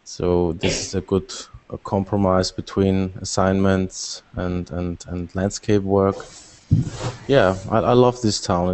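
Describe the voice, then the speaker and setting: A man from Austria with a low, even voice that barely rises or falls. He talks casually and haltingly, doubling back on his words. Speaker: landscape photographer. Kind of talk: casual self-introduction.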